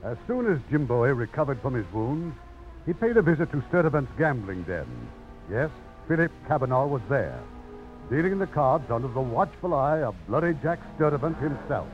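A man's voice: mid-range voice, animated, normal speed. A man narrating a 1950s radio drama in a formal, theatrical way. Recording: dull old radio broadcast with music. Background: music.

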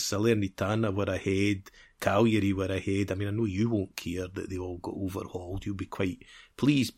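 A man co-hosting a football podcast in casual chat, rambling and repeating phrases as he builds his point. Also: lowish voice.

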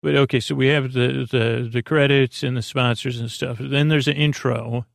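A man with a creaky voice hosting a sleep podcast, soothing and meandering, halting as he wanders off on gently absurd, self-deprecating tangents. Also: mid-range voice, steady tone, no echo, clean studio sound. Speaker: host of a bedtime sleep podcast. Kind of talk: rambling, soothing bedtime monologue.

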